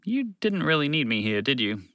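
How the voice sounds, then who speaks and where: Guy doing a puzzled storybook labrador, doubtful and reasonable, voice pitched up a bit. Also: big pitch swings, brisk, bright, forceful tone, no echo, studio quality, exaggerated voice. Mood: baffled. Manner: questioning, doubtful. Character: puzzled, sensible male Labrador dog, a reluctant helper.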